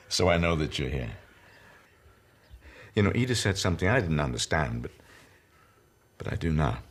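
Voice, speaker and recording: An actor playing an elderly comedian in a television drama, speaking tenderly and haltingly as he grieves beside his dying partner. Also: a bass voice, gravelly, slightly rough, heavily acted. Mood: confessional, tender. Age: older. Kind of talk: intimate television drama monologue.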